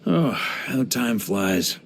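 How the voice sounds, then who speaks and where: An actor playing a hearty, easygoing veteran knight grandmaster in a video game, speaking in an expressive, playful, conversational way. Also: male voice, medium pitch, very slow, slight background noise. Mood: amused, fond. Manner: jovial, expressive, playful, chuckling asides.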